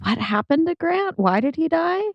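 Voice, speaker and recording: A woman's voice: high voice, very animated, normal speed, vocal fry, no room sound, lo-fi sound. A woman guest on a podcast, chatting casually in a hesitant, self-effacing way. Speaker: podcast guest, cast member. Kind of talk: casual chat. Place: indoors on a couch.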